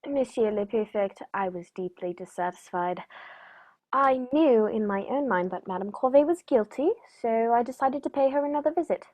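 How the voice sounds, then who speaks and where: A woman playing a composed, shrewd gentleman detective in a radio play, precise and dryly polite. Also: on the high side, expressive, moderate pace. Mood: measured recounting.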